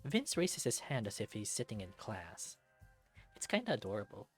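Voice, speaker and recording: A man's voice: lowish voice, wildly swooping pitch, normal speed. A man reading a visual novel aloud as a let's-play, narrating expressively. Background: game music.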